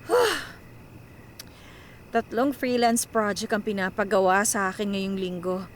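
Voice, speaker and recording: A woman's voice: medium pitch, a little slow, slight background noise, natural voice. A woman playing an anxious, self-sacrificing young breadwinner in a radio drama, with a Filipino accent. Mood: stressed, worried. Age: young adult. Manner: talks to herself, code-switches into English.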